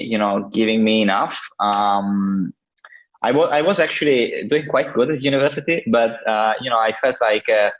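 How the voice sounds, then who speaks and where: Italian-accented man, hesitant and halting. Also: fairly low pitch, highs cut off. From Italy. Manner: hesitant, many fillers and restarts. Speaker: guest, crypto entrepreneur. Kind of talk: casual interview answers.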